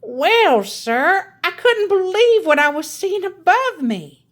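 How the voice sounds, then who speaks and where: A woman's voice: extremely high pitch, very animated, slow pace, voice pushed up, heavily acted. A woman voicing a spirited old grandmother who tells wondrous tales in a folksy, dramatic storybook style. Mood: awed, dramatic. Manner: folksy, dramatic, savoring suspense. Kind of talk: storybook read-aloud character.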